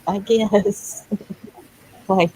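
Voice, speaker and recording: A woman speaks very slowly in a mellow voice. She chats casually and haltingly, letting thoughts trail off. Some ambient noise sits behind her. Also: medium pitch, fairly even intonation, poor audio. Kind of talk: casual chat.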